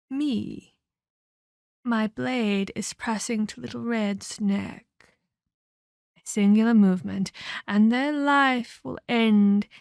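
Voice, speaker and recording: A woman playing a condescending, sinister female vampire captor in an ASMR audio roleplay, speaking in a deliberate, theatrical, taunting way. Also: mid-range voice, vocal fry, dry recording, studio quality. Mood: menacing.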